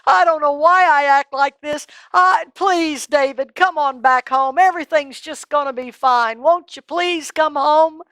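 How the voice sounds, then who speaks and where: Lady doing a sorry old king, tearful and begging, high and slow, dry sound. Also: fairly bright tone, dry recording. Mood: remorseful pleading. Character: remorseful, pleading older male king.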